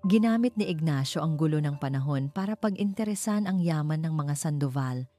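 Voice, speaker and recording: A woman's voice: deep voice, expressive, brisk, mellow. A woman playing a grave, shaken elderly male retired teacher in a dramatic story. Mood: grave recounting. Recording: music behind the voice.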